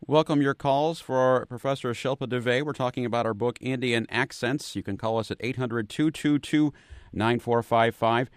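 A man hosting a radio talk show in a studio, introducing a guest and asking questions in a measured way. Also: medium pitch, clear, resonant tone, dry recording. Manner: measured, some restarts. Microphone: studio mic.

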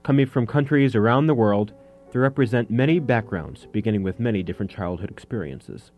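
A man with a fairly low voice reads documentary narration in a calm, formal way. Soft music plays under him. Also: fairly quick, narrow, tinny audio.

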